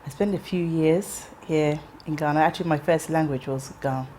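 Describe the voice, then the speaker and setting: Low-voiced woman in her thirties, reflective. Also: dark tone, slight background noise. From England. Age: 30s. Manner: reflective, measured, with frequent ums and restarts. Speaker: interviewee. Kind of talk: personal life story interview.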